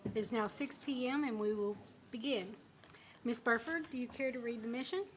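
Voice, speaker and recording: A woman's voice: fairly high pitch, moderate pace, slight background noise. A woman chairing a school board meeting, calling it to order in a formal, procedural manner.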